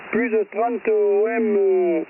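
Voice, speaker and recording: A man's voice: high voice, fairly even intonation, takes it slowly. A man chatting casually as an amateur radio operator over a radio transmission, repeating his phrases. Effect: ham radio transmission. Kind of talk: casual ham radio exchange. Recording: noisy, tinny shortwave radio link.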